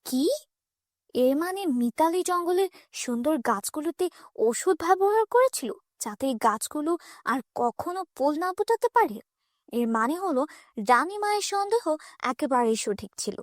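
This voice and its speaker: A woman plays a fairy guardian in a cartoon fairy tale. She speaks high and with authority, questioning and commanding yet caring, with a dry studio closeness. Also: animated, moderate pace, dry recording. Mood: concerned.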